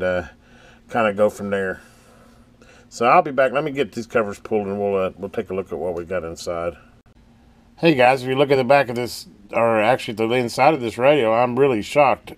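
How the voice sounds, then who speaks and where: Low-voiced man, halting and folksy. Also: dry recording. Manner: halting, folksy, thinking aloud. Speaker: host of a radio repair channel. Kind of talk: casual hands-on repair vlog commentary. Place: indoor electronics workbench.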